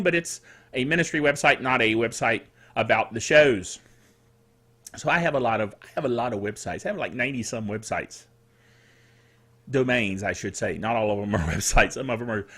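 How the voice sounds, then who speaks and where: A middle-aged man hosting a solo tech news show in a chatty, playful and quirky way, with theatrical asides and a slightly dry, rough throat. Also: medium pitch, brisk, sharp tone.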